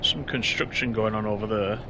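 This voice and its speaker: A man casually commenting on his train game while streaming, reading out and answering chat comments, his voice a bit hoarse. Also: fairly low pitch, steady tone, fairly quick, background noise. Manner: chatty, reads out chat comments. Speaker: live streamer.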